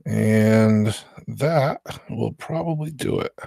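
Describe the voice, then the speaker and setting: An American man hosting with casual, informal, unhurried chat. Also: lowish voice, gravelly, warm tone, no room sound, clean studio sound. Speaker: host.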